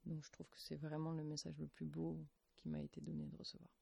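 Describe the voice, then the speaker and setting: A woman's voice: sits low, big pitch swings, fast talker, vocal fry, mellow, slightly rough, no echo. Woman, author guest on radio, stumbles over words, very dry sound. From Toulouse, France. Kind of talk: radio interview.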